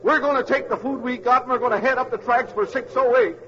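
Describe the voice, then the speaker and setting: An actor playing a belligerent, defiant ringleader of a mob in a mid-century radio drama. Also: male voice, very high-pitched, steady tone, quick pace, pushed and bright. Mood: defiant, bullying. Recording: dull old radio recording, crowd behind.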